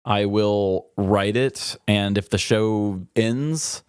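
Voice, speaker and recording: A man's voice: fairly low pitch, very slow, darkish tone, clear, resonant tone, no echo, clean studio sound. A man co-hosting a podcast, talking casually in an earnest, reflective, confessional way. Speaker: podcast co-host.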